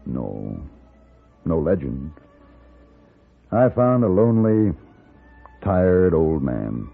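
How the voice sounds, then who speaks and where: Through a narrow, dull vintage radio recording comes a loyal Western gunfighter hero. His voice is deep and grave, with clipped, measured phrasing in 1950s radio drama style. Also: very animated, creaky, soft background music, full character voice. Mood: somber.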